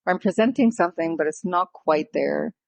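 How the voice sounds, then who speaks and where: Gravelly-voiced woman podcast co-host. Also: sits low, a little fast, dry recording. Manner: explaining and clarifying points. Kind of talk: casual conversational podcast.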